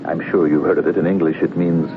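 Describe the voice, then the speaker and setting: An actor playing a calm foreign secret agent who speaks formally and measuredly, uneasy underneath, in a 1970s radio drama. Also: male voice, deep voice, close to an everyday voice. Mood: cryptic, measured. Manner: measured, enigmatic, formal phrasing. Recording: muffled phone-like old radio recording, hiss.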